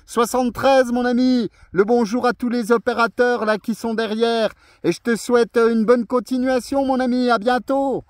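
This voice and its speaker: A man's voice: very high-pitched, fairly even intonation, normal speed, hardly any pauses, no room sound, clean studio sound. A man chatting in a friendly way as a portable radio operator, his voice coming through a radio transmission. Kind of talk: friendly radio contact chat. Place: outdoors on a mountain, heard over radio.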